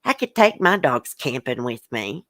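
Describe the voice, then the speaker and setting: Low-voiced upset woman venting to viewers. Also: very animated, moderate pace, fairly bright tone, no echo. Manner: emotional, blunt, colloquial, swears. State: upset and hurt. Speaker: YouTube channel host. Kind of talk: livestream, venting and reading viewer comments aloud.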